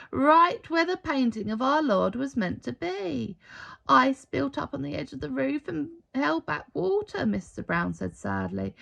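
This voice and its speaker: A woman reading a children's story aloud in an expressive storytelling style. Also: high-pitched, vocal fry. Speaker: storybook reader.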